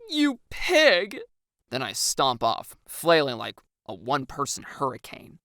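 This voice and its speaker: A woman reading scripted first-person story narration in an expressive way. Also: rumbling low, moderate pace, gravelly, no echo, clean studio sound. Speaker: audiobook narrator.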